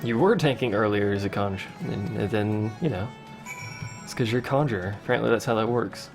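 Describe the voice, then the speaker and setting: A man's voice: on the low side, a little fast, soft background music. A young man casually commenting while playing a game on a livestream, answering chat with wry asides. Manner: chatty, reads chat questions aloud, wry asides. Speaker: let's play streamer.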